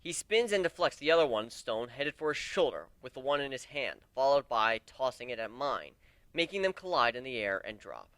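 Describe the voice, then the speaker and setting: Man, amateur dramatic narrator, animated intonation, dry close recording, first-person storytelling. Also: medium pitch, moderate pace, no echo.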